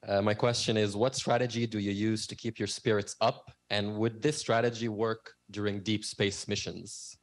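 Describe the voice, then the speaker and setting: Male student questioner, from Egypt and Canada, lowish pitch, very level intonation. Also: little pitch movement, moderate pace, hardly any pauses. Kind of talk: prepared question.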